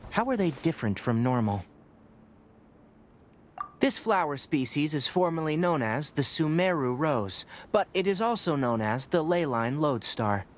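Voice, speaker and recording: An actor playing a young male forest ranger and scholar in a video game, measured and lecturing. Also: on the high side, a little hiss or hum. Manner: measured, lecturing, matter-of-fact.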